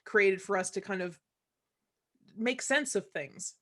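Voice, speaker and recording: A woman's voice: mid-range voice, slow, fairly bright tone. A woman in a casual panel discussion with a wry, joking way of talking. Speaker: cast member on a panel.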